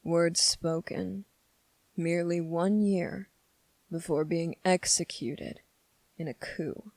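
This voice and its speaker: A sardonic demon acted by a woman addresses the mortal who summoned it with smug condescension. The voice is on the low side with plenty of gravelly creak, very unhurried, with drawn-out pauses, in a dramatic ASMR roleplay. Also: fairly even intonation, a little mellow, no echo. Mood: scornful, dry.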